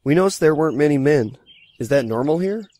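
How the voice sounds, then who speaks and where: A man playing a calm, tactful starship officer leading a field mission, matter-of-fact and careful, in a science fiction audio drama. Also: fairly high pitch, brisk, no echo, close to an everyday voice.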